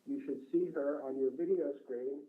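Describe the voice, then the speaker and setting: A man's voice: medium pitch, normal speed, phone-quality sound. A man hosting a live online story broadcast for children, addressing the young listeners directly.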